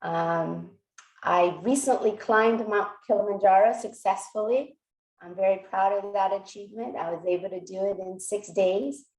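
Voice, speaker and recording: A woman in her forties who grew up in South Korea and whose first language is Korean, introducing herself in a measured way with frequent fillers and restarts on a video call. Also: medium pitch, steady tone, darkish tone. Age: 40s. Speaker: presenter. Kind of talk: personal introduction talk. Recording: echoey room, mic far from speaker.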